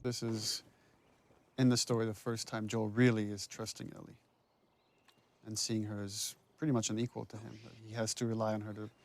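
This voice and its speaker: A man's voice: on the low side, little pitch movement, moderate pace, vocal fry. A man speaking as a writer-director, giving reflective, explanatory, unscripted commentary on scenes.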